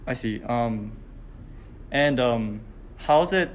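A man's voice: mid-range voice, animated, slow pace, slight background noise. Hesitant young male student interviewer. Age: young adult. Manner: hesitant, full of ums and restarts. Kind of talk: casual interview questions. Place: hotel meeting room.

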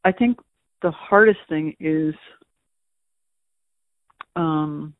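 A man's voice: fairly high pitch, very slow, no echo. A man talking through an interview answer thoughtfully, with frequent restarts and fillers, as an educator. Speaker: museum education staff. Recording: tinny phone-like audio.

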